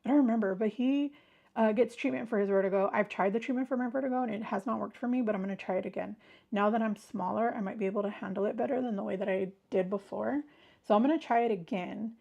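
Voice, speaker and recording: A woman's voice: mid-range voice, quick pace, creaky, a little mellow, muffled recording. A woman hosting a casual livestream, chatting with viewers in a rambling way while congested from a sinus illness. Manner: chatty, rambling, greets viewers mid-sentence. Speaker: livestream host. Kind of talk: casual chat with live viewers.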